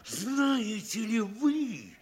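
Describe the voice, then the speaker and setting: Blustering, wildly swooping provincial mayor. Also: male voice, sits high, big pitch swings, extremely slow, breathy edge, heavily acted. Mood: furious, scornful. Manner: theatrical scolding, swinging from sly quiet to bellowing. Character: bullying, gloating provincial mayor, pompous and quick to rage. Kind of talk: classic Russian stage comedy acting in an audio play.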